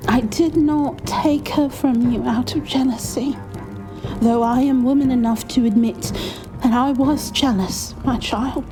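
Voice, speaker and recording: An elegant vampire noblewoman, played by a woman in an ASMR roleplay. Her voice sits fairly high, and she talks with formal, deliberate earnestness, passionate and controlling, with music clearly playing behind her. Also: fairly even intonation. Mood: hurt, stern.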